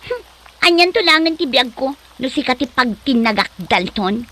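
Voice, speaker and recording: A woman's voice: high-pitched, animated, moderate pace, pushed and bright, full character voice. A woman playing a haughty, ambitious young woman in an Ilocano comedy drama, sassy and disdainful, with an Ilocano accent. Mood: scornful, mocking.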